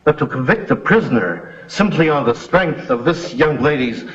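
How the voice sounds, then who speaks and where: Frontier judge male actor: formal, deliberate, slightly high voice, dull vintage recording. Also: lively intonation, lightly acted. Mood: judicious. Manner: formal, deliberate courtroom diction. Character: measured, formal frontier judge, fair-minded. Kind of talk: old-time western television drama acting. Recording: muffled vintage soundtrack, faint music.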